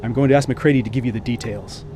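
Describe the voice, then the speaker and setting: A man's voice: mid-range voice, fast, noisy background, lightly acted. An actor playing a sober male expedition commander, speaking formally and with authority in a radio drama.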